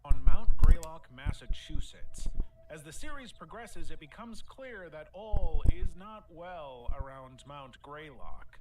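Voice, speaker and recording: A man's voice: fairly high pitch, lively intonation, slow pace, distant mic, heavy background noise. A man reading scripted narration for a horror explainer video.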